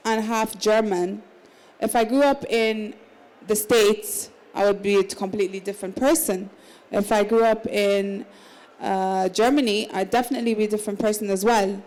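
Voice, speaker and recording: A woman's voice: medium pitch, steady tone, moderate pace, a little mellow, low-quality recording. A woman in her thirties, raised in the Emirates, talking casually as a panel guest at a literary salon. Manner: conversational, with restarts and small laughs. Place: literary salon at a book fair.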